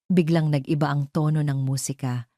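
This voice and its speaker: A woman narrating a scripted romantic audio drama in a dramatic, deliberate storyteller's manner. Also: low-pitched, steady tone, warm tone, no echo, clean studio sound.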